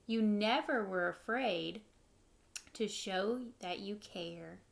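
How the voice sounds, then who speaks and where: A woman in her thirties reads a children's storybook aloud in a medium-pitched voice. She sounds like a teacher, reading expressively and pausing to explain things simply for young listeners. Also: vocal fry. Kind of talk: children's read-aloud with short explanatory asides. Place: living room at home, indoors.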